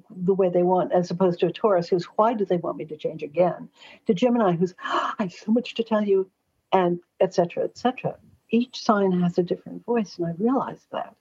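Husky, forthright American woman. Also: on the low side, darkish tone. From Los Angeles, United States. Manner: forthright, chatty, anecdotal. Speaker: astrologer and columnist, interview guest. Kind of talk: conversational interview storytelling. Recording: slightly dull, lo-fi audio.

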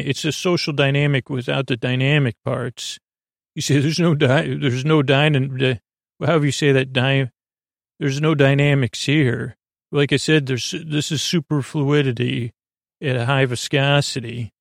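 A man with a creaky voice hosting a sleep podcast, meandering haltingly through a goofy, self-deprecating bedtime monologue. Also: medium pitch, no room sound, studio quality. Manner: meandering, halting, trails off and repeats himself. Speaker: podcast host. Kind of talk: rambling bedtime monologue for falling asleep.

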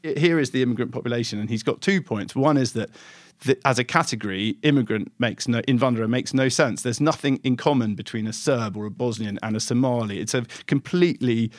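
A male podcast panelist talks in a casual, earnest, explanatory way. His voice sits at a middle pitch, and the recording is dry with no room sound. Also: a little fast, dry recording. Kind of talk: casual discussion.

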